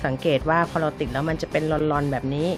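The low-pitched woman you'd hear on a hobby craft channel, walking you through each step while music plays along. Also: steady tone, fast. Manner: informal big-sister address to viewers. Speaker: craft tutorial host. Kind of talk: casual step-by-step tutorial narration. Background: background music.

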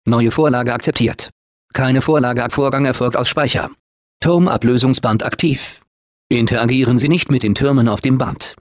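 A man plays a male automated announcer for a video game facility. He speaks at a medium pitch, formal and measured. His temper is unflappable and blandly upbeat, delivering everything as polite, deadpan official information. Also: no room sound, studio quality, natural voice. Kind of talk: scripted game announcements, German dub.

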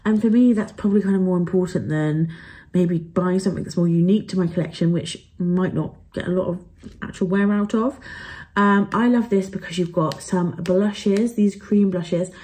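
A woman's voice: lowish voice, fairly even intonation, moderate pace, dark tone. A young woman chatting casually to camera as a vlogger, upbeat and full of fillers. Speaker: travel and beauty vlogger. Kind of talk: casual haul chat to camera.

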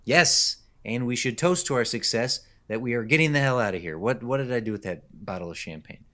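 A man playing a jittery, anxious young husband in a horror radio drama, speaking haltingly. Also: mid-range voice, lively intonation, fairly bright tone, natural voice. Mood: hurried. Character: jittery, nightmare-plagued young husband on honeymoon. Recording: clearly noisy background ambience.

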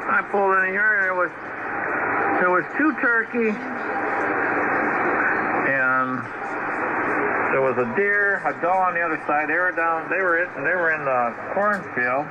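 A man's voice: on the high side, animated, a little slow, long pauses. A man chatting casually over shortwave radio, telling stories in a folksy, salty, repetitive way. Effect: shortwave radio transmission. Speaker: amateur radio operator. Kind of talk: casual chat on ham radio. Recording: muffled, very noisy shortwave radio. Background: heavy radio static and hiss.

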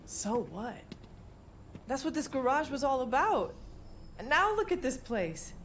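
An actress playing an eager, determined young street racer with an earnest, excitable delivery in a video game. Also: female voice, high voice, a little slow, a little hiss or hum, heavily acted. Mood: earnest, urgent. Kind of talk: video game voice acting, natural dialogue.

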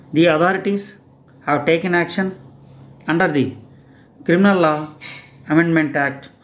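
A man with an Indian English accent reads a passage in steady, measured phrases, pausing so students can take it down in shorthand. His voice sits a little on the high side. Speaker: shorthand instructor. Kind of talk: slow shorthand dictation reading.

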